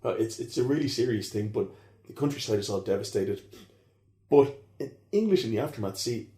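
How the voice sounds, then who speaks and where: A man's voice: mid-range voice, brisk, dark tone, roomy sound. A man, a historian talking casually on a podcast in a rambling way, full of fillers and restarts. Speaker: historian guest.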